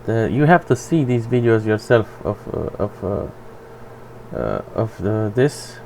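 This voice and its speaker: A man whose first language is Romanian, giving a blunt, profane, halting commentary for his channel. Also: on the low side, animated, creaky, warm tone, a little hiss or hum, poor audio. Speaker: vlogger. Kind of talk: rambling personal commentary.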